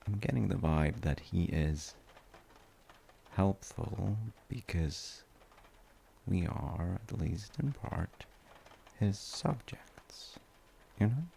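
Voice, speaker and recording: A man playing a gentle, musing father in a sleep-aid audio roleplay, talking in unhurried, halting rambles with a low, gravelly voice. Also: slight background noise, full character voice. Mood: soothing, musing. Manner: unhurried, musing, halting with soft trailing phrases. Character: gentle, musing father comforting his tired grown child.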